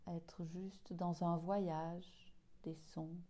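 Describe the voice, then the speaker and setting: A woman leading a guided yoga nidra meditation in a soothing, hushed, unhurried way with long pauses. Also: on the low side, level tone.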